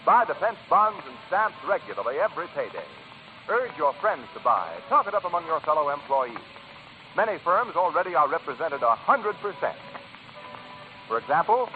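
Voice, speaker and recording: A man announcing on 1940s radio with an emphatic, polished promotional delivery. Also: on the high side. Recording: tinny 1940s radio recording.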